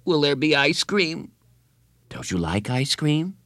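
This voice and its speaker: Storybook schoolboy, nervous and excitable, put on by a man; high, bouncy delivery with wide swings, dry close sound. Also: takes it slowly, no echo, exaggerated voice. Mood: worried. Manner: high, eager, tearful and whiny. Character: anxious excitable young schoolboy. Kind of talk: audiobook character voice.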